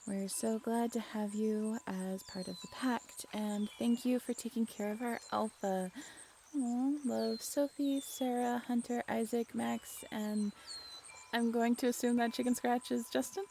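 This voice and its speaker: A woman's voice: fairly high pitch, fairly even intonation, a little slow, gravelly, warm tone, a little hiss or hum. A woman playing an affectionate, grateful birthday celebrant and werewolf's partner in an intimate roleplay audio drama. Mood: reading tenderly. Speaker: voice actor.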